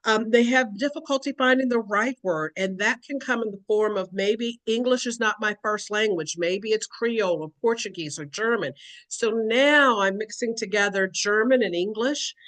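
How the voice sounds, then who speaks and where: A woman's voice: mid-range voice, normal speed, fairly bright tone. Woman, educator, earnest explanatory talk, acts out examples.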